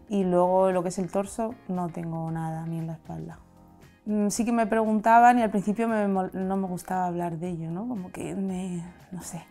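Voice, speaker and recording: Soft-toned woman from Madrid reflecting. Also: on the low side. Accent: Castilian Spanish. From Madrid, Spain. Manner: reflective, open, gesturing with her hands. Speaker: interviewee. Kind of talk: candid personal testimony. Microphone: lapel mic.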